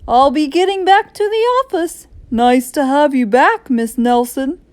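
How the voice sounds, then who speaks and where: A woman's voice: high voice, unhurried, darkish tone, dry recording, pitched above the performer's own voice. A woman voicing a pompous, formal male school principal in a children's storybook reading. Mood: officious. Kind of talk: children's storybook read-aloud.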